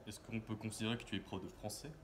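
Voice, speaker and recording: A man hosting a casual interview with a friendly, halting way of asking questions. He often restarts his sentences. His voice is on the low side, with faint noise behind it. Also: flat delivery. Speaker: interviewer, host.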